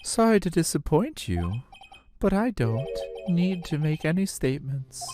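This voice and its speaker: A man's voice: fairly high pitch, very animated, measured pace, music in the background, pitched above the performer's own voice. A man voicing a smug, menacing older police chief from a video game, with theatrical, jovial taunting. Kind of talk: amateur voice acting of game dialogue.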